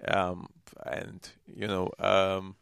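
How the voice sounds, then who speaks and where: A man's voice: sits low, completely flat delivery, extremely slow, creaky, fairly bright tone, clear, resonant tone, dry recording, clean studio sound. A man from Armenia with an Armenian accent, a musician answering casually as an interview guest.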